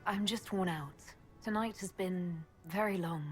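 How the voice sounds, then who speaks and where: An actress playing a composed, principled heroine in a fantasy game, speaking in an earnest, direct, restrained way. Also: female voice, on the low side, unhurried, faint music behind, close to an everyday voice. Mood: weary, soft.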